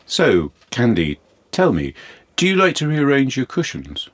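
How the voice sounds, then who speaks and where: An actor playing a measured, probing therapist in an audio drama, asking leading questions. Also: male voice, medium pitch, wildly swooping pitch.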